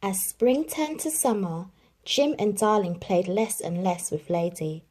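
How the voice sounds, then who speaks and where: A woman reading a children's picture book aloud, telling the story expressively with dramatic pauses. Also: mid-range voice, a little mellow. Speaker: narrator.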